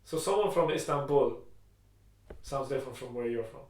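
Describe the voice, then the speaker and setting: A man's voice: medium pitch, lively intonation, moderate pace, a little mellow. Blunt young Irish man, echoey room. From Ireland. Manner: blunt, swearing, slangy. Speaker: podcast co-host. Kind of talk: casual chat. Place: indoor room with echo.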